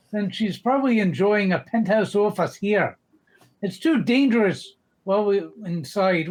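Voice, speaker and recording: A man voicing a gruff, wise old male adventurer in a cartoon comic dub. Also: high voice, rough recording.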